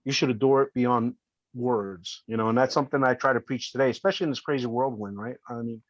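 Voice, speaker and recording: A man's voice: medium pitch, fast, no room sound, lo-fi sound. A man in his fifties, born in Colombia and raised in New Jersey, telling his story as a podcast guest in an earnest, heartfelt way. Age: 50s. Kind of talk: casual interview storytelling.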